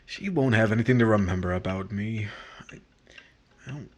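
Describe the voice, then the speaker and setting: An actor playing a brooding, misunderstood husband, wounded and jealous, with hesitant delivery in a 1950s-style radio romance. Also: male voice, lowish voice, moderate pace, close to an everyday voice. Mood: brooding, resigned.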